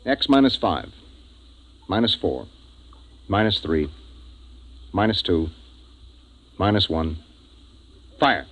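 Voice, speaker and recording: An actor playing a gruff, impatient middle-aged spaceship commander in a 1950s science fiction radio drama, with a commanding delivery. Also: male voice, deep voice, very animated, takes it slowly, long pauses, a bit bright, heavily acted. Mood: brisk commanding. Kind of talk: 1950s radio acting with first-person narration. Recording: vintage 1950s radio, music behind.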